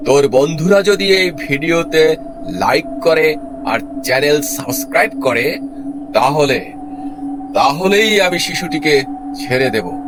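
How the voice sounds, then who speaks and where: A man's voice: on the high side, expressive, measured pace, long pauses, noisy background. A man voicing a menacing shadow demon of a cave, theatrical and taunting, in a cartoon story. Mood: bargaining. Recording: loud music behind the voice.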